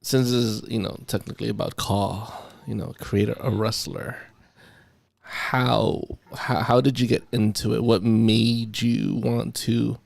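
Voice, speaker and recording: A man's voice: on the low side, flat delivery, unhurried, vocal fry, dry recording. A young man interviewing a friend in a casual chat, a bit congested, playful and prone to restarts, speaking close to a handheld mic. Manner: playful, teasing, stumbling restarts. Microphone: handheld mic held close.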